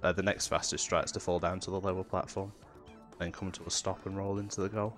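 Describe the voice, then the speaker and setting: A man's voice: low-pitched, fast talker, soft background music. A man with a cold and a nasal voice, casually explaining technical tricks as he commentates his own speedrun. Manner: relaxed, explanatory, with fillers. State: has a cold.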